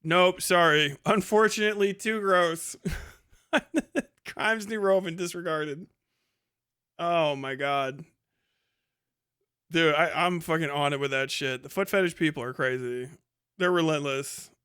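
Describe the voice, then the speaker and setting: Male livestream host, high smooth voice, casual reactive commentary, profane and incredulous. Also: unhurried, long pauses, pushed and bright. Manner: heavily profane, exasperated, incredulous.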